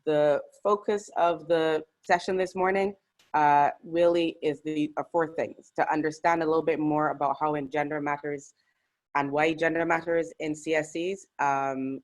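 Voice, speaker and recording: This is a presenter leading an online workshop over a video call. The delivery is measured and structured, at an ordinary middle pitch, with occasional fillers between points. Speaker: webinar presenter.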